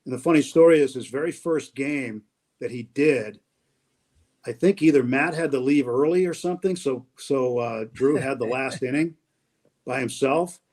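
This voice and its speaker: Relaxed middle-aged man telling family stories. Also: medium pitch, moderate pace. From New Hampshire, US. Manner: relaxed, rambling storyteller with many fillers. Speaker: radio baseball play-by-play announcer, guest. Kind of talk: casual interview conversation.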